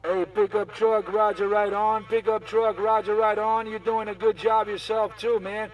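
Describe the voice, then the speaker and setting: High-pitched man bantering on CB radio. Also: little pitch movement, normal speed, recorded from a distance. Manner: repetitive, showy, boastful. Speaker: CB radio operator. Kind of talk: CB radio banter in repeated chanted catchphrases. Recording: CB radio chatter, off the mic.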